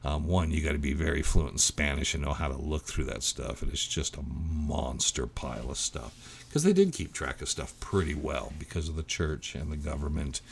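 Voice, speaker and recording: A middle-aged man with a very deep, gravelly voice chats loosely on a livestream, rambling and cutting himself off mid-thought. A faint hiss of noise sits under his voice. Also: lively intonation, moderate pace. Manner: rambling, chatty, self-interrupting. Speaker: livestream host. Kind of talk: casual livestream chat reading viewer comments.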